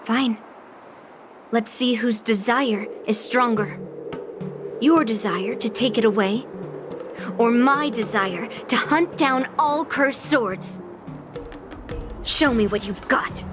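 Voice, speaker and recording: A stubborn young sword hunter from a video game. She has a high voice and talks slowly and bluntly, giving commands and challenges, with music clearly behind her. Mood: defiant. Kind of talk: video game character acting.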